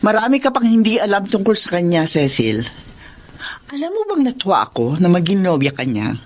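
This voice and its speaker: A woman's voice: medium pitch, big pitch swings, brisk. An actress with a Filipino accent playing a troubled, kindly middle-aged store owner and mother in a radio drama. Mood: disappointed, confiding. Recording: faint music behind.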